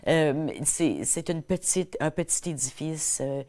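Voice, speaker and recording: A woman's voice: low voice, steady tone, measured pace, a little mellow. A middle-aged woman hosting a TV interview, chatty and prompting, often rephrasing her questions. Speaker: TV host. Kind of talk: TV interview, casual conversation.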